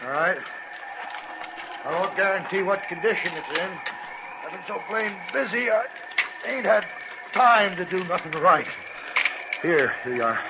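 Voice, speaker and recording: An actor playing a harried, stubborn, plain-spoken frontier homesteader with a rural western American accent. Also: male voice, high voice, expressive, a little slow, long pauses. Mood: uneasy, defensive. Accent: rural American West. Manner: folksy, defensive. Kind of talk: 1960s radio drama acting. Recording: noisy, muffled vintage radio recording.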